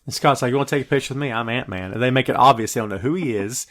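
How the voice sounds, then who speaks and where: A man hosting a podcast, chatting casually about movies in an animated, emphatic, slangy way. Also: medium pitch, rapid-fire. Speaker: podcast host. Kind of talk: casual movie review chat.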